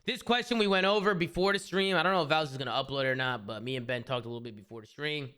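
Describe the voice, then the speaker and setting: A young man hosting a casual esports talk show, reading out viewer questions in a quick, upbeat way that sometimes drops to a mumble. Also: medium pitch, bright, forceful tone, clear, resonant tone.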